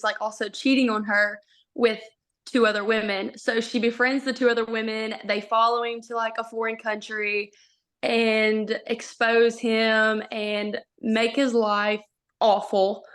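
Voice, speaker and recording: A young woman from Arkansas, a college softball pitcher, chatting casually as a podcast guest with frequent giggles and lots of "like". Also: smooth. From Arkansas, USA. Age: young adult. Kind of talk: casual interview chat.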